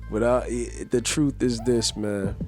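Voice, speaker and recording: A man co-hosting a podcast, musing earnestly in a near-sermon way, using casual slang. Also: mid-range voice. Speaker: podcast co-host.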